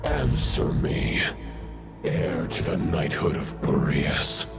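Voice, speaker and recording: A man voicing an ancient wolf spirit, stern and judging, who speaks in a deep, slow, solemn voice. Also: sing-song, vocal fry, music in the background, full character voice.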